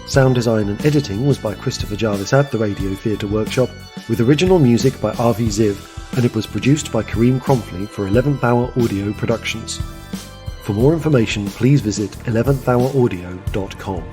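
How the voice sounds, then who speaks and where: Man reading credits over clear music. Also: on the low side, a little fast. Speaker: credits announcer. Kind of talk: scripted narration. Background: music, guitar.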